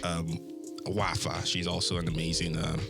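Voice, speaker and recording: A man's voice: low-pitched, completely flat delivery, normal speed, creaky, over music. A male radio host doing a casual, playful studio interview, teasing his guest in a chatty way.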